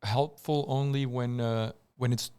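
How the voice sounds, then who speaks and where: A man's voice: mid-range voice, fairly even intonation, measured pace, warm tone, clear, resonant tone. A man from Colombia, a guest engineer, telling his story on a casual radio talk show with many restarts and repeated words.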